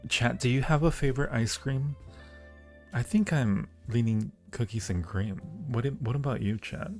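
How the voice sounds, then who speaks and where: A man's voice: mid-range voice, moderate pace, faint music behind. A man hosting a live-stream Q&A, answering viewer questions casually with a playful, teasing and flirty manner. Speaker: audio roleplay creator hosting a live Q&A.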